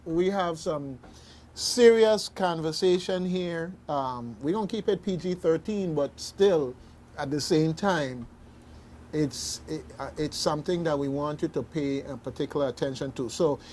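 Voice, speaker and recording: Halting, emphatic man hosting, noisy recording. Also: a little high, lively intonation, a little mellow. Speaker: radio talk show host. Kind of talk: hosting a serious community discussion.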